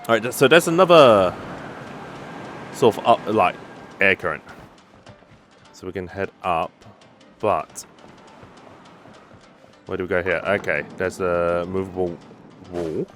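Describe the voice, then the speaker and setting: A man's voice: low-pitched, a little slow, long pauses, a bit bright. A man hosting a gaming video, thinking aloud in casual playthrough commentary. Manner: thinks aloud, reacting to the game. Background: game music.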